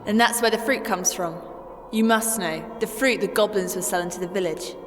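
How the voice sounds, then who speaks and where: An actress playing a stern, businesslike half-elf adventurer in a fantasy audio drama, with a direct, questioning manner. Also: female voice, medium pitch, moderate pace, close to an everyday voice. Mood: probing. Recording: long reverb, music underneath.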